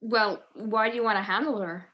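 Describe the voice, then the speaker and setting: A woman playing a quick-witted, wry amateur sleuth in a radio-style mystery, with a teasing manner. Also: mid-range voice, fairly even intonation, lo-fi sound, close to an everyday voice. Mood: wry, probing. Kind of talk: 1940s radio mystery acting.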